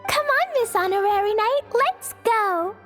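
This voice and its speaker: A woman playing a sweet, innocent, excitable little girl in an anime video game, with an earnest childlike manner. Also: very high voice, unhurried, soft background music, exaggerated voice. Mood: eager.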